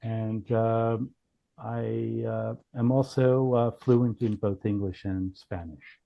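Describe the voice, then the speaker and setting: A man giving a casual software demonstration in a halting way, thinking aloud as he goes. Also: on the low side, flat delivery, gravelly, highs cut off. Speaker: company founder demonstrating software. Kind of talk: casual product demo.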